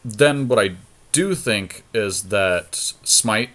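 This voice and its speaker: Male podcast co-host, lower register, casual and deliberative talk about game strategy. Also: animated, slow pace, a bit bright.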